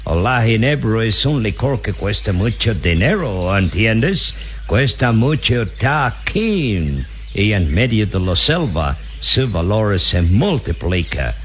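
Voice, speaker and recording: A scheming, unscrupulous captain in a Latin American Spanish radio melodrama. He coaxes slowly, with wide melodramatic swoops and patronizing, drawn-out hesitations. Jungle birds and background noise sit behind him. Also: fairly low pitch, very animated. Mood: scheming, bargaining. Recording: noisy jungle ambience with birdsong.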